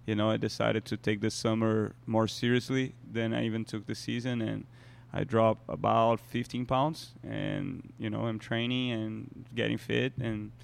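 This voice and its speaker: A man from Brazil, co-hosting a podcast and telling a lively story in casual chat. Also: fairly low pitch, moderate pace, creaky. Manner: animated storyteller, many "you know" fillers. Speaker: podcast co-host, soccer player.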